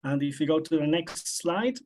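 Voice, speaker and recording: A man giving an academic slide talk as a scientist, explaining methodically with frequent hesitant pauses. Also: on the high side, animated, darkish tone. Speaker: scientist presenting research.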